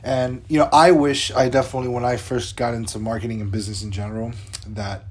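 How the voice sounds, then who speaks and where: A man's voice: medium pitch, flat delivery, fast. A man in his twenties co-hosting a podcast, chatting in an upbeat, loose way with lots of fillers. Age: 20s. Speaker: podcast co-host. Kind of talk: casual podcast chat.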